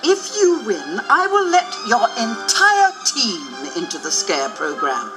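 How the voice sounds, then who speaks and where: A woman's voice: on the high side, huge pitch swings, slow, heavily acted. A woman voicing a stern, imperious female monster who is a college dean, speaking formally and with command in a storybook production. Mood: stern warning. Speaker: voice actor in storybook. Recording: music behind the voice.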